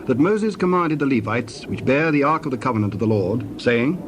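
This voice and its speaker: A man's voice: mid-range voice, lively intonation, a little fast, background noise. A man reading scripted narration for a dramatized scripture recording.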